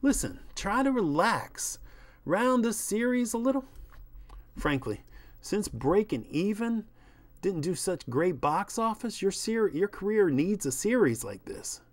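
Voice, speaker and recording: A man's voice: high-pitched, very animated, a little slow, voice pushed up. A man voicing an exasperated film agent who pleads and coaxes in a persuasive manner. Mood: coaxing. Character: coaxing, exasperated male film agent smoothing things over.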